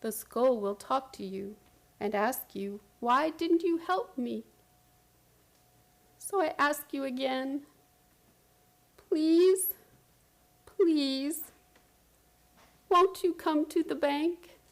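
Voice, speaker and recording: Woman acting a haunted pleading lady in an old radio play, really drawn out, kinda high. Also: extremely slow, long pauses, full character voice. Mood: desperate pleading. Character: distraught woman pleading with macabre, haunted dread.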